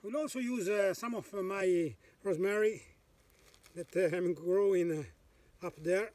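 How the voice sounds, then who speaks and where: Slow, halting man by a campfire. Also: high voice, darkish tone, no echo. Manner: halting, many "uh" fillers, explaining as he works. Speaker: bushcraft channel host. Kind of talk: casual outdoor demonstration. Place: outdoors on a wooded hillside by a campfire. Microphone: camera on the ground a few meters off.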